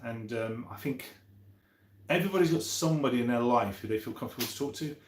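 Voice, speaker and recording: Male streamer, 50s, very lively pitch, rambling and chummy chat, echoey room. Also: fast talker, slight background noise. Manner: rambling, chummy, thinking aloud. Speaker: live stream host. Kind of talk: casual chat, reading out and answering viewer comments. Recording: roomy echo.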